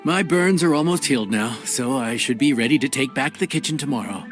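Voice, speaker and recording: A man's voice: medium pitch, expressive, brisk, music in the background, natural voice. A man playing an easygoing, practical inn cook in a video game. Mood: appreciative.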